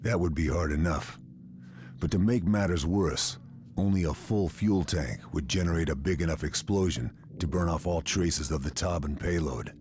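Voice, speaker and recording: A man's voice: sits low, normal speed, a little mellow, slight background noise, natural voice. An actor playing a weary, steely sniper in a World War II game, narrating his mission in a terse, grave, hard-boiled way. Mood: grim determination. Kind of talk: scripted cinematic game narration.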